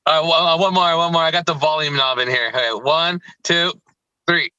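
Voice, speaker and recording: A man's voice: fairly high pitch, fairly even intonation, normal speed, sharp tone, clear, resonant tone, no room sound, muffled recording. A man hosting a music interview in casual, slangy chat, enthusiastic and often repeating himself. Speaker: music show host interviewing.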